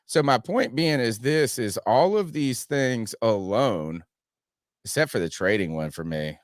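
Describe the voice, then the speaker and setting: A man's voice: mid-range voice, animated, measured pace, dry recording, clean studio sound. A man hosting a casual sports podcast, rambling through his points and swearing freely. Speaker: podcast host.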